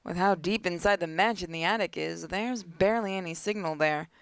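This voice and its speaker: A woman hosting a gaming video, reading a visual novel aloud dramatically with playful, expressive asides. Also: on the low side, fairly quick, smooth, dry recording.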